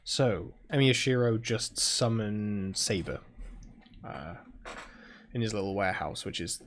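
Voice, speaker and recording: A British man hosting a game playthrough, chatting casually and reading story text aloud in a low-key, halting way. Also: expressive, fairly bright tone. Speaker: gaming video host playing a visual novel.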